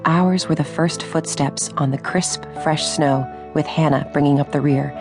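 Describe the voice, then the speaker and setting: An actress playing a grown daughter who recalls her girlhood in measured, reflective radio drama narration. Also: sits low, steady tone, over music. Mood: warm, storytelling.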